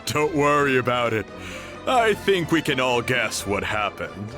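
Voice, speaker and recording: A man's voice: medium pitch, sing-song, measured pace, music in the background. A man voicing a cheerful, teasing contest host in a video game, explaining things in a jovial tone. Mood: reassuring. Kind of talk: animated video game voice acting.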